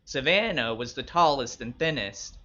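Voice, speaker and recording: A man's voice: medium pitch, lively intonation, normal speed, sharp tone, lo-fi sound. A man reading a first-person short story as a wary young male escort of the 1960s, wry and blunt. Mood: wry, reflective.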